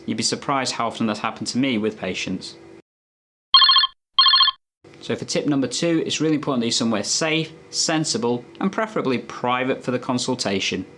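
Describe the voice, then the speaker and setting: A man's voice: mid-range voice, expressive, brisk, heavy background noise. A man presenting health tips as a doctor, explaining in a conversational, instructive way to viewers.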